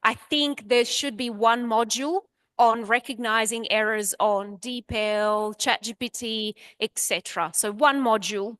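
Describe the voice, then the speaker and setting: A woman speaks frankly and emphatically as a panel lecturer. Her fairly high voice is dry and close to the mic. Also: fairly even intonation, a little slow, a bit bright, clean tone, no echo. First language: Italian. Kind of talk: confident panel answer.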